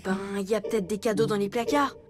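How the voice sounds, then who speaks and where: A woman's voice: medium pitch, quick pace, crowd noise, lightly acted. A woman voicing a cheeky, confident elephant big sister in a children's cartoon, breezy and self-assured. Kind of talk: animated children's cartoon dialogue. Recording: loud music behind the voice.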